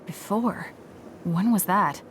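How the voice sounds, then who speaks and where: A woman's voice: medium pitch, slow pace, faint music behind, lightly acted. Cool, terse young woman fighter voiced by an actress. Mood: cool, reserved. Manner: cool, terse, matter-of-fact. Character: stoic, blunt young woman fighter, big-sister type. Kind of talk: English video game voice acting.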